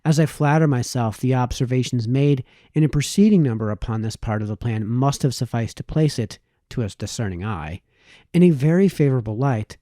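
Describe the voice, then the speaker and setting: A man's voice: mid-range voice, dark tone, dry recording, clean studio sound. A man reading a formal essay aloud in the style of an audiobook narrator. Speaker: audiobook reader.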